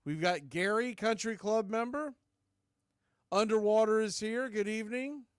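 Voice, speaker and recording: Higher-voiced man calling baseball. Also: unhurried, smooth, no room sound, studio quality. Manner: folksy, informal, addresses chat listeners. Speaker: baseball play-by-play streamer and show host. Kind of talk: casual live sports commentary.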